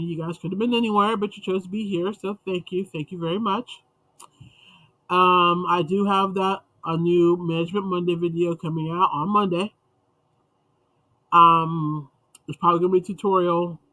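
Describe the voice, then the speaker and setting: A man's voice: high voice, steady tone, moderate pace, sharp tone, no room sound, low-quality recording. A man hosting a casual livestream chat, rambling and chatty with frequent restarts, sounding congested and sniffly. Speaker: livestream host.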